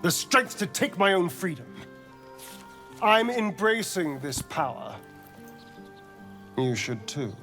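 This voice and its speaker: Sly vampire, vain, flirtatious, unhurried, widely swooping pitch, video game drama. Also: male voice, sing-song, a bit bright, soft background music, exaggerated voice. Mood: smug, defiant. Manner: theatrical, flirtatious, arch, drawn-out delivery. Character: sly, vain male vampire, centuries old, hungry for power.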